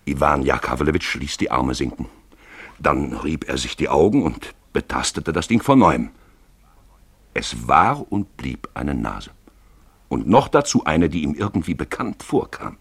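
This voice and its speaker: A man giving a deliberate, theatrical literary narration for a radio play. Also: extremely low pitch, a bit bright, slightly rough, no room sound.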